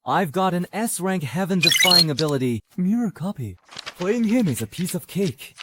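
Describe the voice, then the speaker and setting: A man's voice: high-pitched, animated, moderate pace, very noisy. A man playing a cocky, scheming young actor in an English anime drama dub, with a theatrical, exclamatory manner. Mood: smug. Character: cocky young male actor posing as a lord, flustered and scheming.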